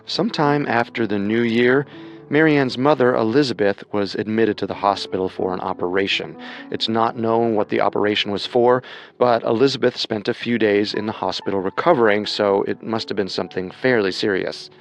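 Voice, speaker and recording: Male co-host for scripted true crime, lower register, explanatory and measured, music bed beneath. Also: fairly even intonation. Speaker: co-host narrator. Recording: music under the voice.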